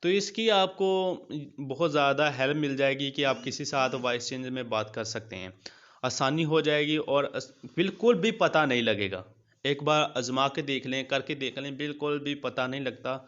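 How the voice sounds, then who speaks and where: A man's voice: medium pitch, moderate pace, a bit bright, smooth. A man hosting a casual, colloquial app tutorial, addressing viewers like buddies and repeating his points. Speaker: YouTube host of app tutorials. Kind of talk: casual tutorial narration with screen walkthrough. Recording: dull lo-fi sound.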